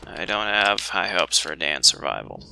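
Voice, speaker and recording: Man, lively intonation, casual gaming commentary, rambling, mild swearing, noisy recording. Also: low voice, normal speed, gravelly. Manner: mildly profane, rambling, thinking aloud. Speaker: let's player. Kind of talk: casual blind let's play commentary.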